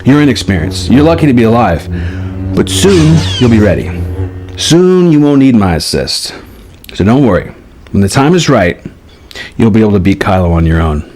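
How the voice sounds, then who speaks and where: A man's voice: on the low side, sing-song, normal speed, long pauses, darkish tone, a little hiss or hum. A man voicing a seasoned, wry Jedi master who mentors with assurance in a dramatized story reading. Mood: firm, instructive.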